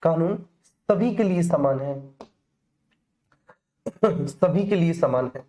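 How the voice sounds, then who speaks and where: A woman's voice: sits low, lively intonation, slow pace, dark tone. A woman with an Indian accent giving an explanatory exam-coaching lecture, addressing students familiarly and repeating key phrases for emphasis. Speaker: teacher.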